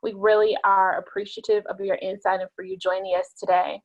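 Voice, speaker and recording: A woman's voice: medium pitch, little pitch movement, fairly quick, muffled recording. A woman hosting a webinar over a video call, formally thanking and introducing the guest. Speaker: webinar host.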